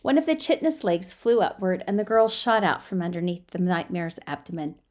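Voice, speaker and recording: A woman reading a story aloud as an amateur narrator, in an expressive, emphatic storytelling manner with occasional stumbles over words. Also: fairly low pitch, a little fast. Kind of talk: dramatic audiobook-style fiction narration.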